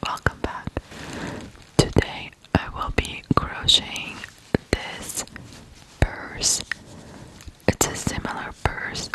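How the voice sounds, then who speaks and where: An ASMR host from Ukraine, telling stories in a hushed whisper with frequent pauses between short phrases. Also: low-quality recording. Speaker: ASMR video host and storyteller. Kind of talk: whispered ASMR true crime storytelling.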